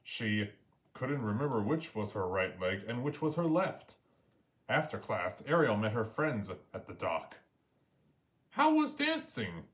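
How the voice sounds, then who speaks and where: A man's voice: fairly low pitch, expressive, unhurried. A man narrating a children's story aloud as a storyteller.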